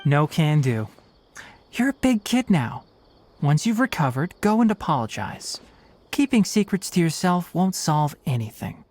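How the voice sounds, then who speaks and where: An actor playing a young forest ranger in a game, blunt and lecturing, no-nonsense. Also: male voice, on the high side, big pitch swings, measured pace, darkish tone. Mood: stern. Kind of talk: video game voice acting.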